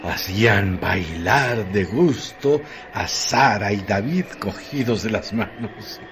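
A man's voice: on the low side, animated, takes it slowly, a bit bright, slight background noise. A man narrating a literary radio drama with grave, spaced-out phrases.

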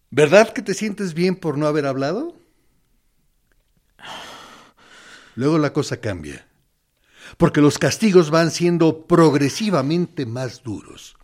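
A menacing army captain in a radio drama. He speaks slowly, swinging widely in pitch as he feigns friendliness and talks down to his prisoner. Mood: mock reassurance. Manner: condescending, mock-friendly, deliberate with pointed pauses. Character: menacing male military captain interrogating a prisoner, falsely sympathetic. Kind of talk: dramatic radio play monologue.

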